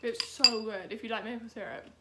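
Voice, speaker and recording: High-voiced young British woman vlogging. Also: fairly even intonation, fairly quick, very noisy. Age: young adult. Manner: chatty, self-deprecating, informal. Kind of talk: casual vlog chat to camera.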